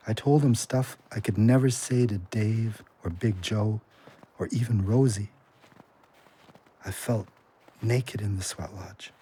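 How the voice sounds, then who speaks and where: An actor playing a hopeful, restless working-class ex-con with slangy, plain-spoken talk in an audio drama. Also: male voice, lowish voice, dark tone. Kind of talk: audio drama acting and monologue.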